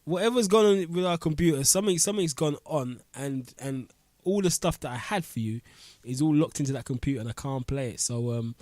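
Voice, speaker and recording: A man's voice: a little high, animated, brisk, a little mellow, no echo. A man hosting a radio talk show, blocked up with a cold, chatting loosely in street slang. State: blocked-up nose. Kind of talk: casual radio chat. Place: radio studio. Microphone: studio mic.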